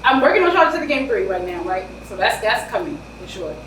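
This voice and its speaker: A woman with a New York accent, an author being interviewed in casual chat, talking loud and animated with quick bursts. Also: mid-range voice, crowd noise. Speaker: author guest. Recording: roomy, distant mic, faint hiss.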